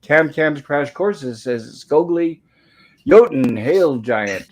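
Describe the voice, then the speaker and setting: A man hosting a casual livestream chat, polite and welcoming, with a slightly raspy throat irritated by smoke. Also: on the high side, animated, slow, darkish tone. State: throat irritated by smoke, holding back a cough. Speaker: livestream host.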